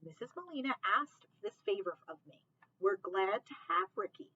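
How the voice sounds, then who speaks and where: A woman's voice: medium pitch, animated, normal speed, sharp tone, husky, muffled recording. A woman reading aloud, voicing a firm but kindly older grandmother. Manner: firm, no-nonsense.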